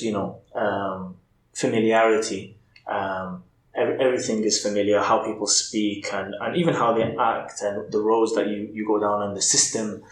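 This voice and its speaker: A man from England, a podcast guest thinking aloud candidly, often restarting his sentences. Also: on the low side. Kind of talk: casual interview chat. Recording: roomy echo.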